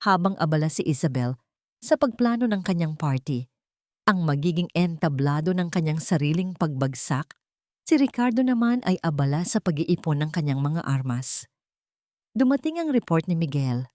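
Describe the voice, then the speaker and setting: A woman narrates an audio drama as its storyteller. Her voice sits a little low, and the delivery is scripted and dramatic. The recording is very dry. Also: a little mellow, no room sound.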